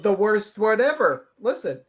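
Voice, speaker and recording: A man's voice: high-pitched, big pitch swings, moderate pace. A man giving casual, blunt and profane reaction commentary as a livestream commentator.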